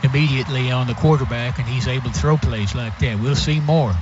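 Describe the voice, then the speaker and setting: A man's voice: mid-range voice, fairly quick. A man giving casual, folksy color commentary on a live football radio broadcast. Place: outdoor stadium radio booth. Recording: muffled radio feed, loud stadium noise.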